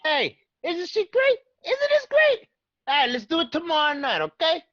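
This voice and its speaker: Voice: extremely high pitch, huge pitch swings, normal speed, fairly bright tone, heavily acted. An actor playing a brash, impatient young male mobster with pushy wiseguy patter in a dramatic read. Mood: eager, pushy. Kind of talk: dramatic table read of a mob film. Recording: dull lo-fi home call audio.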